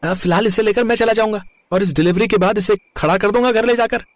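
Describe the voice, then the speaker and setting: A man plays a cheeky, hungry truck sidekick in a story cartoon. He is high-pitched and very dry in sound, always coaxing in street slang. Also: fast, no echo, natural voice. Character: cheeky, hungry young male truck helper, eager sidekick. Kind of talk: animated moral story dialogue.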